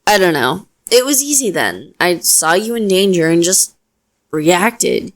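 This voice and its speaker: A young witch voiced by a woman speaks straight to the listener in an audio roleplay. Her voice sits a little low for a woman. She explains things softly and reassuringly, protective yet modest about herself. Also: very animated, measured pace. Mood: sheepish, self-deprecating.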